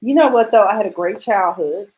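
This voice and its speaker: A woman from rural Mississippi with a Southern accent, hosting a casual radio chat show, excitable and playful. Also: mid-range voice, brisk, poor audio. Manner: excitable, loud exclamations, playful.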